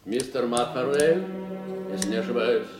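An actor playing an elderly, wealthy gentleman in a radio play, genial and avuncular, with coaxing, patronising theatrical delivery. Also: medium pitch, normal speed, music in the background, lightly acted. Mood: businesslike, affable.

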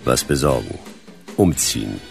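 A man's voice: very deep voice, lively intonation, a little slow, creaky, darkish tone, faint music behind, exaggerated voice. A man playing a laconic, world-weary private detective in a German sci-fi crime radio play, terse, dry and hard-boiled. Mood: dry narrating. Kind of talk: noir radio play narration and dialogue.

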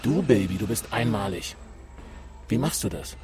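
A man's voice: on the low side, animated, normal speed, a little mellow, close to an everyday voice. An actor playing a cool, flirtatious male gamer in a radio drama, with casual, slangy delivery. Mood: flirtatious, insistent. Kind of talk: scripted radio drama acting. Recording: music behind the voice.

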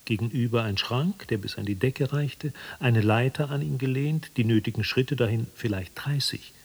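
Guy narrating a weird literary radio play, husky, medium pitch, measured storytelling. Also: a little mellow. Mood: dry, uneasy. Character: male narrator of an absurd, anxious tale.

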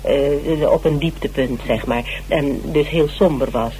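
A man's voice: fairly high pitch, a little slow, dark tone, close to an everyday voice, rough recording. An actor playing an eager, fawning male criminology lecturer who hesitates and repeats himself in a radio drama. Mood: excited, gossipy. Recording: hissy old radio recording.

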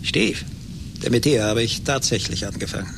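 A rich, vengeful man played by a male radio actor gloats with slow condescension. His mid-pitched voice has a clear creak, and the old drama recording carries noticeable noise and rumble. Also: close to an everyday voice. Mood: smug, gloating. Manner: condescending, deliberate. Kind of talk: German radio play acting.

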